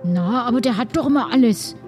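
A woman plays a naive, loyal pirate fellow in an improvised audio adventure. The voice is fairly high and rambles on colloquially, with some music behind it. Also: animated, a little fast, close to an everyday voice. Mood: chatty, cheerful. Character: Kalle, chatty, loyal, naive male pirate.

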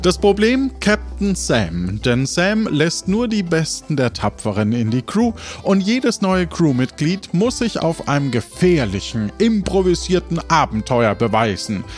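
High-voiced man hosting an adventure game. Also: sing-song, measured pace. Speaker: game master and host. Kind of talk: hosting and narrating an improvised audio adventure. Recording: music bed under the voice. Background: music.

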